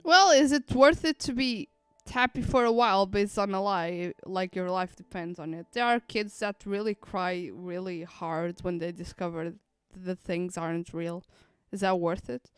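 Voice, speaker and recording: Very clear-voiced woman streamer. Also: fairly low pitch, measured pace, few pauses, no room sound. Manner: reflective, repeats and rephrases points. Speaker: streamer reacting to a game. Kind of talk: casual live commentary.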